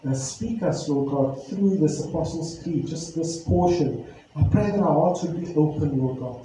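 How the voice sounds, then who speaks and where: A male pastor introducing a sermon series and praying, earnest and reflective, pausing with fillers. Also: fairly high pitch, warm tone, a little hiss or hum. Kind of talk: sermon and prayer. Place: church hall. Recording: very echoey church hall, faint ambience.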